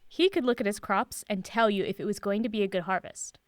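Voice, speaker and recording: A woman playing a self-assured, cryptic professor in an audio drama, speaking in a measured, guarded, teacherly way. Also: mid-range voice, smooth, no echo, clean studio sound, natural voice. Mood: patient, instructive.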